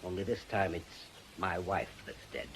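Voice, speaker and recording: An actor playing a stubborn, embittered old widower in a radio drama, blunt, curt and accusing. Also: male voice, deep voice, steady tone, moderate pace. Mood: bitter, accusing. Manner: blunt, curt, says "aye". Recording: faintly noisy old radio recording.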